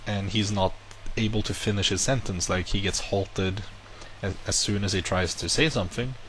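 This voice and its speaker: A low-pitched man whose first language is not English talks casually to his viewers, rambling through a personal story and stopping to reword himself. A faint hum of room ambience sits under his voice. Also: normal speed, low-quality recording. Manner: rambling, self-correcting, animated retelling. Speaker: vlogger. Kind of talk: casual monologue to camera.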